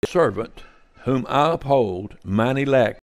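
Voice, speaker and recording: A man's voice: mid-range voice, animated, slow pace, no echo. An older man giving earnest, informal Bible teaching, gesturing as he talks in a kitchen under renovation.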